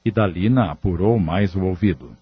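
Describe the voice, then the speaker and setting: A man's voice: low-pitched, normal speed, highs cut off, a little hiss or hum. A man narrating a scripted audio drama.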